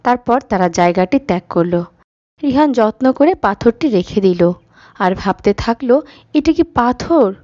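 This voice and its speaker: A woman's voice: medium pitch, expressive, a little slow, dark tone, no room sound. A woman narrator reading a scripted story for a children's cartoon. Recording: slightly muffled, dry, music behind.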